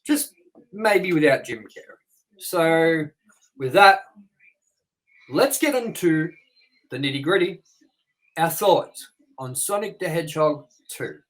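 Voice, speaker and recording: A man's voice: a little high, animated, slow pace, long pauses, a bit bright, rough recording. A man co-hosting a casual movie review chat, reading out figures and firing questions at his partner with fillers and restarts. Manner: reads figures, then prompts partner with questions. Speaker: co-host.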